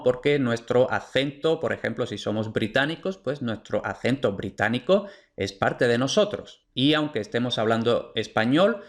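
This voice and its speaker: A man teaching pronunciation in an online course, speaking clearly and restating each point. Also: mid-range voice. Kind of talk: online course lecture over slides.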